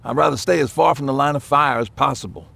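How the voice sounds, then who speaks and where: Gravelly, husky male survivor. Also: medium pitch, fast, sharp tone, full character voice. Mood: wry, wary. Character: cautious, easygoing male civilian survivor. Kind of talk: dramatic video game acting.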